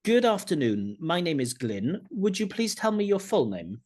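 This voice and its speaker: A man leads a practice speaking exam over a video call. His voice is slightly husky, and his pitch moves a lot as he asks questions. He sounds measured and formal. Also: big pitch swings, darkish tone. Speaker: IELTS examiner and channel host.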